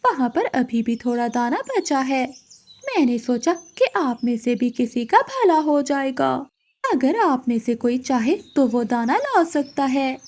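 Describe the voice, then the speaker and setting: A woman voicing a sly, gossiping female crow who goads others in an animated moral story. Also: sits high, measured pace, full character voice. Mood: falsely helpful. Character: scheming, gossiping female crow stirring up quarrels.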